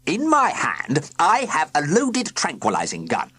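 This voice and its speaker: A reader voicing a pompous wicked industrialist who announces his threats slowly and grandly. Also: on the high side, huge pitch swings, bright, forceful tone, slightly rough, no echo, voice pushed up, heavily acted. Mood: pompous menace. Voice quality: slow, pompous.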